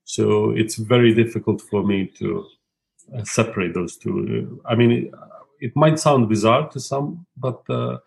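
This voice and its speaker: A man in his thirties from Bosnia and Herzegovina speaks English as his second language. His voice is lowish with a gravelly fry, and he talks reflectively and haltingly, often restarting his sentences. He speaks as a guest giving personal testimony. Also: poor audio. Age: 30s.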